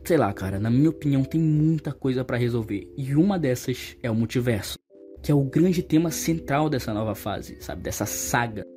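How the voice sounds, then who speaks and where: A young Brazilian man narrates a casual, opinionated video essay. His voice sits at a middle pitch, and his delivery is colloquial, with emphasis on criticism. Music plays faintly behind him. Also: expressive, normal speed, warm tone. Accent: Brazilian Portuguese. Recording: voice-over over background music.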